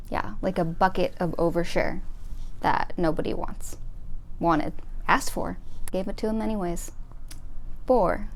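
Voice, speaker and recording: A young woman, talking to the camera in a flat, monotone way about her own life. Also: lowish voice, creaky. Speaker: vlogger. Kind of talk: personal talk to camera.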